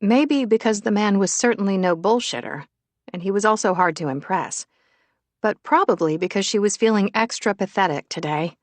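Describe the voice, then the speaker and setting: A woman's voice: medium pitch, normal speed, dry recording. A woman reading scripted fiction as an audiobook narrator.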